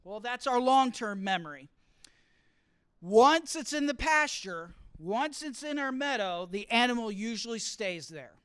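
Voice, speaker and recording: A man giving a lively teaching talk to students, often asking the room questions as he explains. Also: very high voice, unhurried, smooth, no room sound, clean studio sound. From grew up partly in Costa Rica. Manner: asks the audience questions, explains with extended metaphor. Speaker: college speaker teaching study skills. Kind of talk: interactive classroom talk.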